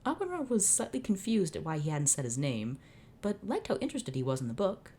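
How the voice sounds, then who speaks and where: A woman's voice: low voice, big pitch swings, a little fast, warm tone, a little hiss or hum. A young woman reading a fanfiction story aloud, doing character voices and breaking off into exasperated asides. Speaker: fanfiction reader. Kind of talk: dramatic fanfic reading with reactions.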